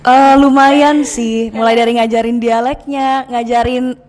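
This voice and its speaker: A woman's voice: a little high, little pitch movement, a little slow, darkish tone. A woman chatting casually as an actress being interviewed. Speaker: actress interviewed as film cast member. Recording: reverberant room.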